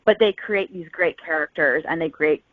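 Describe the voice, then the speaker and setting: A woman's voice: low-pitched, normal speed, dry recording. A woman novelist chatting casually as a podcast guest over a call. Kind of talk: casual interview chat. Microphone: phone or online call. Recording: tinny phone-like line, dry.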